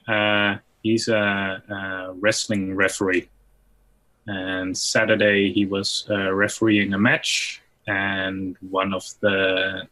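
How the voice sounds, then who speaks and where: Male call participant, low but sharp-toned, casual conversational delivery. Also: low-quality recording. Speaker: participant in a live stream. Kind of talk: casual chat and anecdote on a video call. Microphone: video call.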